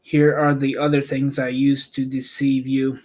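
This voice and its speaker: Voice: mid-range voice, level tone, measured pace, darkish tone, close to an everyday voice. A man voicing an apologetic little humbug wizard who explains himself in a storybook reading. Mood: explaining tricks.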